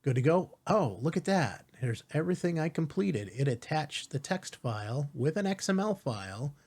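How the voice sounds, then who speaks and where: A man hosting a step-by-step software tutorial in an informal, reassuring way, often checking in with rhetorical asides. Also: fairly high pitch, very animated, normal speed, breathy edge. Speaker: tutorial host.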